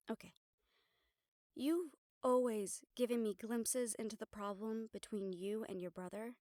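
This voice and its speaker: A woman acting as a vampire's supportive partner, with a somewhat high voice. She sounds wry and playful, gently probing in a conversational audio drama style. The recording is close and dry. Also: no echo, close to an everyday voice. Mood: gentle, probing.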